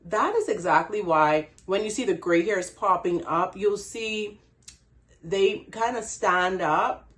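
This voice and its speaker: A woman from the Bahamas with a Bahamian accent, giving a casual hair care tutorial in a chatty way and laughing at herself. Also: fairly low pitch. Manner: chatty, laughs at herself, frequent "you know". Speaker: hair care brand owner.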